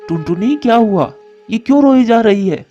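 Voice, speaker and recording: A woman voicing a doting, protective father bird with a gentle, coaxing manner in a cartoon story. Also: on the high side, animated, normal speed, dull audio, natural voice. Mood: concerned. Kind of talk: Hindi cartoon story acting. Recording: music behind the voice.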